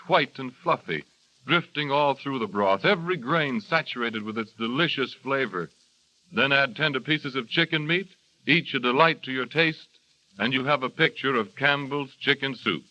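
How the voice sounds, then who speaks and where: Persuasive announcer on old radio. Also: male voice, mid-range voice, expressive, moderate pace, pushed and bright. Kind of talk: scripted commercial reading. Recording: dull vintage radio recording.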